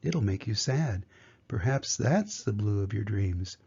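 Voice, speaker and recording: Ancient kindly storybook turtle, older male reader, unhurried, soft dark tone, dull recording. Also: fairly low pitch, animated, husky, pitched below the performer's own voice, full character voice. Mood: kindly, wise. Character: wise, gentle, very old female sea turtle. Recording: dull, muffled lo-fi sound.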